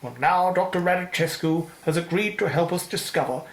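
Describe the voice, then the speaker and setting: A man's voice: high voice, brisk. A man playing a slick corporate spokesman giving a press conference speech in a radio play. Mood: slick, upbeat. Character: slick corporate spokesman promoting medical research. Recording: roomy, echoing sound.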